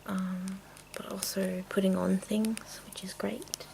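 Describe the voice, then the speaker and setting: A woman chatting casually in a soft, mostly whispered ASMR style, rambling along, with tapping and clicking from small containers. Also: mid-range voice, flat delivery, slow pace, creaky, low-quality recording. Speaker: video creator and host. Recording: noticeable noise, clicking sounds. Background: tapping and clicking of makeup containers.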